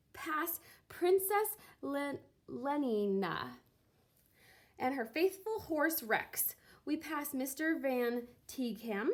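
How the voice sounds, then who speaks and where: A woman with a high-pitched voice reads a children's story aloud. Her pace is slow and deliberate, and she gives phrases a dramatic, expressive emphasis. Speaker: teacher reading a picture book aloud.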